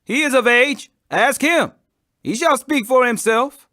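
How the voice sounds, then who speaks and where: A man's voice: very high-pitched, a little slow, sharp tone, dry recording, clean studio sound, pitched above the performer's own voice, exaggerated voice. A man voicing the cautious, fearful parents of a grown son, emphatic and declamatory, in a scripture reading. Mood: guarded.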